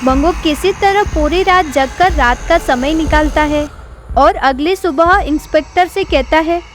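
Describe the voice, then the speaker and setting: A woman giving scripted narration for an animated horror story. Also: sits high, unhurried.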